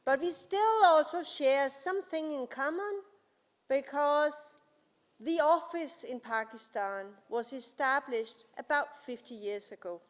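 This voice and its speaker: Woman, Danish accent, guest speaker at a conference, slow and deliberate, echoing hall. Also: high-pitched. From Denmark. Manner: deliberate, slow and careful, with frequent uh pauses. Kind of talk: formal conference speech. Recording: long hall reverb.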